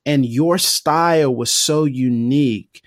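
A man's voice: mid-range voice, slow, no room sound, studio quality. A man hosting a podcast interview, engaged and affirming as he poses his questions. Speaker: podcast host. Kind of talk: conversational interview.

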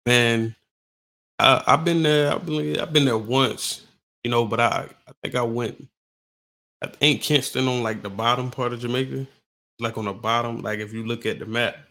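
A man's voice: mid-range voice, steady tone, normal speed, creaky, bright, forceful tone. A man hosting a podcast interview, hyped and casual, packing his questions with "like" and "come on, man.". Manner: hyped, filler-heavy, lots of "like" and "come on, man". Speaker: podcast host.

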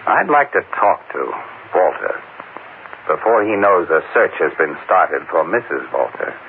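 Brief: easygoing, shrewd newsman, lowish voice with big swings, vintage radio mystery. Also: expressive, unhurried. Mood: shrewd, wary. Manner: casual, colloquial, questioning. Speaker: lead actor in a radio drama. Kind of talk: old-time radio drama acting. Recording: noisy, narrow-band old radio broadcast.

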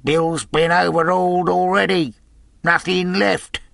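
Man playing rough pirate henchman, calling out loudly. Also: medium pitch, expressive, slow, no room sound, clean studio sound, full character voice. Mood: reporting. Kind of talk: audiobook character voice.

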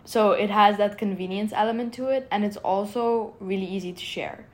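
A woman's voice: mid-range voice, moderate pace, darkish tone. Young woman from egypt, founder, casual chatty interview, says like a lot. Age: young adult. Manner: earnest, eager, many "like" fillers. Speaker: startup founder, guest.

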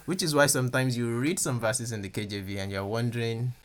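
Yoruba-accented man as a guest explainer: measured and methodical, talks with many "um"s and restarts, mid-pitched. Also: steady tone, a bit bright, slight background noise. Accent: Yoruba-influenced English. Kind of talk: conversational teaching.

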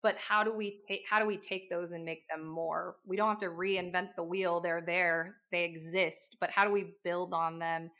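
Gravelly, flat-voiced woman. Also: on the low side, moderate pace, hardly any pauses. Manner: reflective, searching for words, with "you know" fillers. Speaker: podcast speaker. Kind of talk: reflective business talk.

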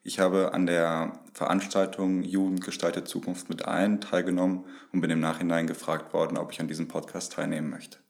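Very deep, flat young man. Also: fairly quick, gravelly, hardly any pauses. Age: 20s. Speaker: student, panelist.

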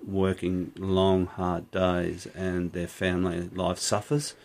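A man's voice: low voice, level tone, takes it slowly, creaky, a little mellow, a little hiss or hum. A man co-hosting an unscripted podcast in a studio, rambling and correcting himself, with frequent laughs. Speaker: podcast co-host. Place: small recording studio. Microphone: studio microphone.

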